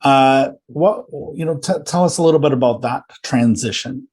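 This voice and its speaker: A man's voice: mid-range voice, normal speed, darkish tone, husky. A man hosting a business coaching podcast, friendly and enthusiastic, often restarting his sentences. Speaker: podcast host. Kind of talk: podcast interview.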